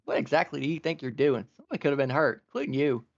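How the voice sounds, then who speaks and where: A man's voice: medium pitch, quick pace, close to an everyday voice. A man voicing a stern but fair female farm pony who speaks with folksy country phrasing, on a dull lo-fi mic.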